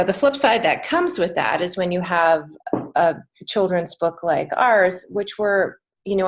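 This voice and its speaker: A middle-aged American woman speaking as an interview guest, telling stories conversationally in an earnest, emphatic way. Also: fairly low pitch. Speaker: podcast guest, children's author and teacher.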